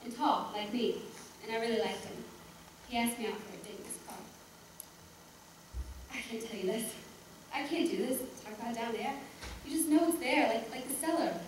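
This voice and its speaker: A woman performing a theatrical monologue as a reluctant, embarrassed seventy-two-year-old, chatty and blunt, with halting, dismissive asides. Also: a little high, natural voice. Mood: hesitant. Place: echoey room, recorded from far off. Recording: echoey room, distant mic, faint noise.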